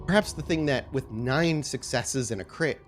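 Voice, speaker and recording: A man improvising narration as game master of a tabletop game, with a halting delivery full of repeated words. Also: medium pitch, faint music behind. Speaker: game master of an actual play podcast.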